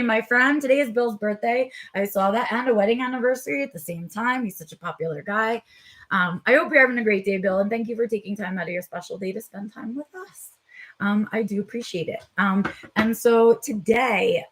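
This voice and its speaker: A woman hosting her own live vlog in a casual, chatty way, her voice a little raspy and tired, with frequent ums as she talks straight to her viewers. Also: medium pitch. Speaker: vlog host. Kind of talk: casual unscripted live chat.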